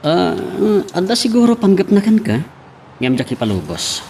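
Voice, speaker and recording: A man's voice: high-pitched, wildly swooping pitch, moderate pace, creaky, a little mellow, exaggerated voice. Earnest, hesitant suitor voiced by a man, faint noise. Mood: hesitant, jealous. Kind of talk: Ilocano radio-style love drama acting.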